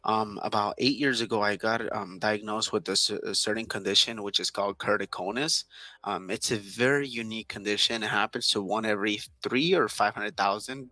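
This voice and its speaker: A man's voice: fairly low pitch, pushed and bright, no room sound. A man in his thirties, a native Spanish speaker from Southern California, talking earnestly as an interview guest, with many restarts and fillers. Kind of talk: casual interview talk.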